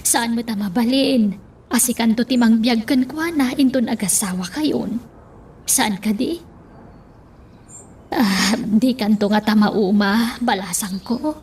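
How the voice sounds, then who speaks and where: A woman's voice: on the high side, slow, pauses often, a little mellow, husky, exaggerated voice. A woman playing a worried, insistent older mother figure in an Ilocano radio drama.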